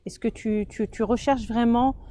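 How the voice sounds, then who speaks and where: A woman interviewer puts casual questions to her guest in a quick, chatty manner. Her voice is fairly high, with a faint noise behind it. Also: fairly even intonation, mellow.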